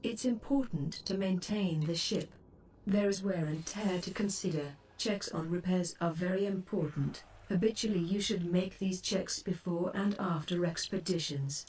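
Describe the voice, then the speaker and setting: A woman voicing a polite, composed spaceship computer assistant in a game, speaking formal, measured scripted lines. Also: lowish voice, darkish tone, slight background noise, lightly acted. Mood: composed, courteous. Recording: distant, with music behind. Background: game music.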